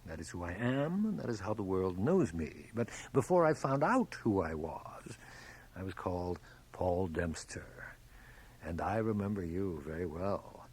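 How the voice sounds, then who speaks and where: An actor playing a suave, self-assured stage magician in a radio drama, speaking formally and precisely with measured theatrical poise. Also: male voice, low voice, big pitch swings, darkish tone, husky, exaggerated voice. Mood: composed, pointed. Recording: 1980 radio drama, hissy cassette transfer.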